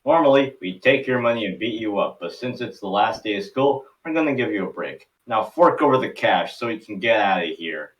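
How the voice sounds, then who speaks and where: A man's voice: fairly low pitch, animated, fairly quick, hardly any pauses, far from the mic, highs cut off, close to an everyday voice. A man voicing a cocky, pushy schoolyard bully in a cartoon table read, with a taunting delivery.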